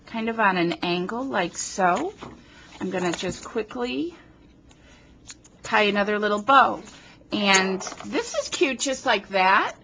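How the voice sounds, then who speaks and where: Casual craft host, woman from New Jersey, slow and chatty, a bit sniffly, camera mic over a home worktable with light hiss. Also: mid-range voice, pushed and bright. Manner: chatty, self-deprecating, talks while working. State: sniffling from a runny nose. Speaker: card-making tutorial host. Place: home craft table, indoors. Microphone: camera on tripod above the table.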